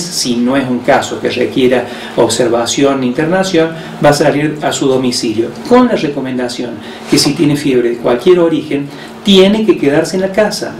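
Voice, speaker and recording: An Argentine man, somewhat high-voiced for a man, explains health measures patiently, pausing often. His voice sounds distant, in a roomy space, with faint noise behind it. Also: darkish tone. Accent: Argentine Spanish. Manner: measured, explanatory, with frequent hesitations. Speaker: hospital director.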